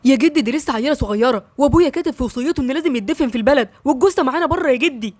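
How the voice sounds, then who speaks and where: A woman reads an angry young man who protests being forced to marry. His lines come quick and indignant, close-miked and dry, like an audiobook. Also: high voice, lively intonation, a little mellow, dry recording.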